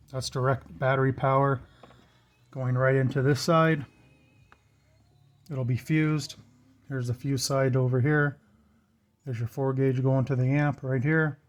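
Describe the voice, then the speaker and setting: A man giving a casual, plainspoken hands-on walkthrough of his own project, informally pointing things out as he goes. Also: mid-range voice. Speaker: vlogger showing his truck wiring project.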